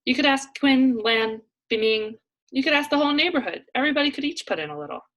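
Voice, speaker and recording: A woman voicing an anxious, proud immigrant mother in a children's storybook, expressive and insistent as she keeps up a brave front. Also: high-pitched, fast, pitched above the performer's own voice, rough recording. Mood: eager, persuading.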